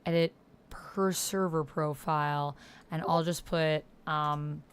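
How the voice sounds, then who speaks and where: A woman's voice: deep voice, fairly even intonation, slow pace, clear, resonant tone. A woman hosting a livestream, chatting informally, thinking aloud and reading on-screen text. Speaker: livestream host and player.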